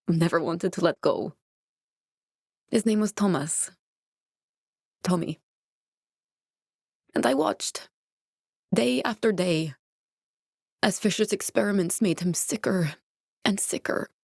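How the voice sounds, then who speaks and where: A woman voicing an earnest, guarded young female scientist survivor in a video game, speaking in a measured, serious way. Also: on the low side, no echo, studio quality. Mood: subdued, regretful. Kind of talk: dramatic video game dialogue.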